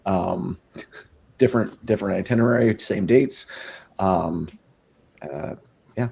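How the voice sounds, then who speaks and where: Panelist: man from Virginia, very deep and gravelly, faint noise behind. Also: a little slow, a little mellow. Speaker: scout leader, panelist.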